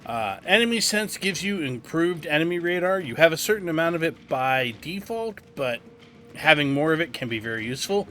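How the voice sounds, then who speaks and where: Bright-voiced man giving game commentary. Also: on the high side, animated, a little fast. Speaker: gaming guide host. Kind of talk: casual game walkthrough commentary. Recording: faint background game noise. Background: game sound.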